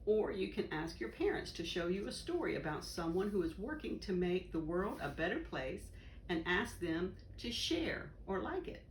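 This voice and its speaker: A woman reading a children's educational book aloud in a deliberate, instructive way. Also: lowish voice, very animated. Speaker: volunteer story reader. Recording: roomy echo, faint background noise.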